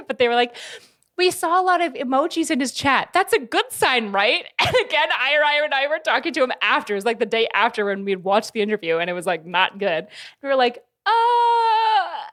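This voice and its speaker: A young woman streamer chatting casually as she reacts to clips, animated and laughing, swearing now and then and mimicking people. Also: high voice, moderate pace.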